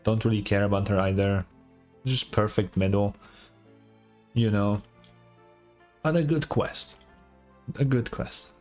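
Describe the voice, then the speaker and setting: A man's voice: fairly low pitch, a little slow, long pauses, a little mellow, faint music behind. A man giving casual, blunt, sweary reaction commentary as a game streamer.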